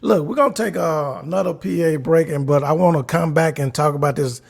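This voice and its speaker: A man hosting a podcast interview, easygoing and quick to affirm his guest. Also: on the high side, vocal fry, breathy edge. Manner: easygoing, with frequent affirmations and laughs. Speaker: podcast host.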